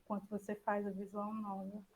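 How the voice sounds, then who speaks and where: A woman's voice: mid-range voice, completely flat delivery, brisk, a little mellow, low-quality recording. A Brazilian woman chatting casually in an online question session, informal, self-effacing and a little hesitant. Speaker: speaker giving an online talk.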